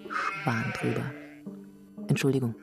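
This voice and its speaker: A woman's voice: extremely low pitch, level tone, slow, gravelly, heavily acted. A woman playing a blunt, sardonic composer in a German radio drama, speaking in wry, clipped, philosophizing phrases. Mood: wistful, dry. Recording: music behind the voice.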